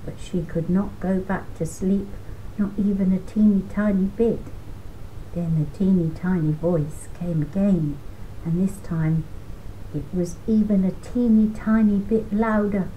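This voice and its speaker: Slow, mellow older woman storyteller. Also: mid-range voice, steady tone, a little hiss or hum, low-quality recording. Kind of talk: children's storybook reading.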